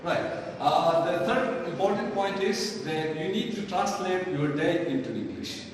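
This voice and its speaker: A man's voice: high-pitched, expressive, a little slow. A man with a Pakistani accent teaching a live online English lesson in a didactic way, often asking questions and answering them himself. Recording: very echoey hall, distant mic, faint noise.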